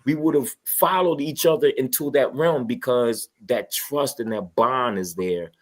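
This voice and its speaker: A man's voice: medium pitch, unhurried. A man in a casual, heartfelt group conversation, telling anecdotes emphatically and checking that listeners follow him. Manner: earnest, emphatic, often seeking agreement. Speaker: podcast panel participant.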